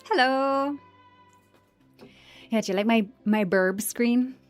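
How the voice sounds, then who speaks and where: A woman with a deep voice, chatting casually on a livestream as a streamer and voice actor, with fillers and frequent laughs. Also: slow pace, soft background music. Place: home room, indoors.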